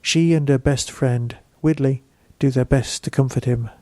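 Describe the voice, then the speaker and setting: A man delivers a scripted review as a podcast host. His voice is mellow and relaxed at a middling pitch, recorded dry and close. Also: normal speed, no echo.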